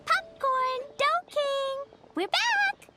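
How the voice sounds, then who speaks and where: A woman's voice: extremely high pitch, expressive, very slow, full character voice. A woman voicing a cute little witch girl in a cartoonish video game, talking in simple childlike phrases. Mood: cheerful. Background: loud game music.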